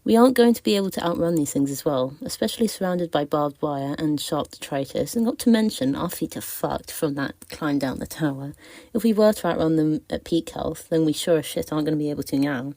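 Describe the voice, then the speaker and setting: A woman's voice: sits low, expressive, fairly quick, warm tone, no room sound. A woman reading out her prepared opinion as a listener's voice message. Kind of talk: prepared, scripted opinion piece.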